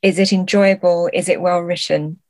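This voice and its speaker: A woman's voice: lowish voice, fairly even intonation, normal speed, darkish tone, no echo, clean studio sound. A woman speaking as an interview guest, explaining her views in a thoughtful, conversational way. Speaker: podcast guest, literary translator.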